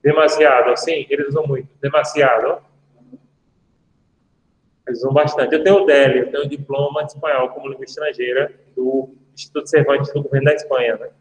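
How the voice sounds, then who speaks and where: Chatty professor in an echoey room. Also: male voice, medium pitch, steady tone, fairly quick. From Brazil. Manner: chatty, anecdotal, jokey asides to students. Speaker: law professor. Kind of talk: casual online lecture. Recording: echoey room with long reverb.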